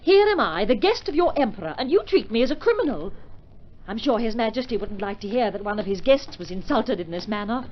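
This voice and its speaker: A woman's voice: fairly high pitch, big pitch swings, fairly quick. A woman playing a famous opera singer, proud and easily indignant, in theatrical old radio drama style. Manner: haughty, theatrical. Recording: muffled, noisy old radio recording.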